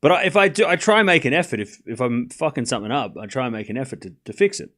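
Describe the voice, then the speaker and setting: A young Australian man talks loosely on his live stream, his voice set in an ordinary middle register. He drifts between quick calls of the tennis and easygoing answers to chat, swearing now and then. The talk rambles, with the restarts of someone speaking off the cuff. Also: medium pitch. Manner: relaxed and rambling, reads chat aloud and answers it, swears casually.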